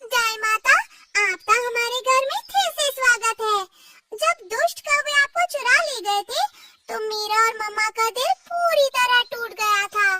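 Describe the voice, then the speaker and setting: Woman voicing a tiny, very high-pitched girl bird. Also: animated, a little slow. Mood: relieved, loving. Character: earnest, devoted little girl bird. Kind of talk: cartoon story voice acting.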